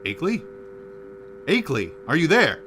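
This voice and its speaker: An actor playing an earnest, astonished professor in a radio play, full of questions. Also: male voice, on the high side, wildly swooping pitch, moderate pace, sharp tone, slight background noise, full character voice. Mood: urgent, worried. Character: earnest, astonished male professor investigating the uncanny.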